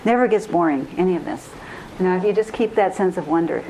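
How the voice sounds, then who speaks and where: A woman's voice: fairly low pitch, fast talker. A woman giving earnest, emphatic advice in a conversational teaching style, repeating phrases as she goes. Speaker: co-speaker on intuition. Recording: live recording with faint noise.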